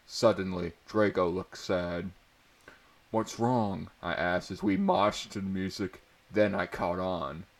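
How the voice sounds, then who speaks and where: Slow-talking, low-voiced man reading aloud. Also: lively intonation. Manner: often breaks into laughter while reading. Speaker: amateur reader of fan fiction. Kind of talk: mock-dramatic reading aloud with asides.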